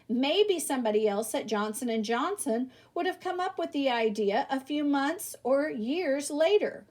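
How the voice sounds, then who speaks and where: Woman, high voice, very lively intonation, expressive children's read-aloud, emphatic, talks directly to young listeners. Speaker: storybook reader.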